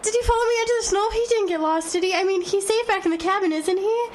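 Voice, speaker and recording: An exhausted, anxious woman, speaks extremely high over faint noise. Her delivery is plaintive and halting, full of self-doubt, as in a radio play. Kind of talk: audio drama acting.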